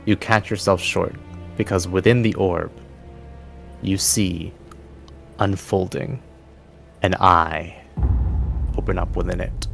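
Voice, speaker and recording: A man acting as game master, narrating a tabletop fantasy game in a descriptive, deliberate storytelling manner. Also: low voice, creaky.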